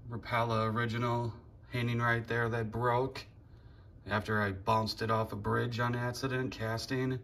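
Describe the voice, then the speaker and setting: A man, casually showing off his living room decor on a phone camera in a plainspoken, relaxed way. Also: mid-range voice. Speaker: fishing channel host. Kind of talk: casual show-and-tell vlog. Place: living room at home, indoors. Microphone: handheld phone camera.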